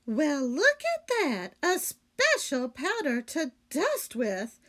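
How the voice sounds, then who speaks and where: A woman's voice: sits high, huge pitch swings, slow, fairly bright tone, voice pushed up, exaggerated voice. A woman voicing a cheerful, earnest, literal-minded housemaid in a children's storybook, folksy and given to musing aloud. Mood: delighted. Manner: folksy, exclaiming, musing to herself.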